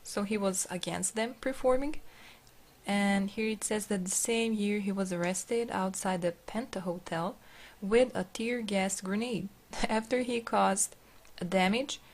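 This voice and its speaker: A woman from Serbia with a Serbian accent, reading a text aloud and translating it, halting as she searches for words. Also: medium pitch. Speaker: video narrator.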